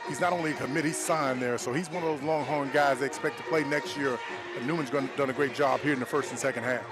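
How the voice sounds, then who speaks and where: A man's voice: mid-range voice, steady tone, fast, over loud music. A man giving conversational color commentary and analysis during a live football broadcast.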